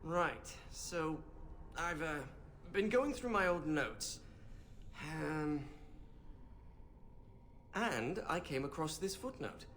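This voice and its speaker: A fussy young scholar mage from a fantasy game. His pitch moves around a lot as he explains things in an earnest, pedantic, slightly timid way. There is faint noise behind him. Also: expressive, slow, long pauses, a bit bright, full character voice. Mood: hesitant, explaining. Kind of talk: video game character acting.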